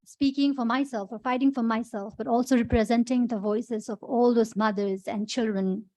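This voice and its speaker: Young female activist, Nepali accent, slightly high pitch, earnest formal address. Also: fairly even intonation, moderate pace, dark tone, no echo, low-quality recording. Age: young adult. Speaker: activist and human rights lawyer. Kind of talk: prepared public speech. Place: conference podium.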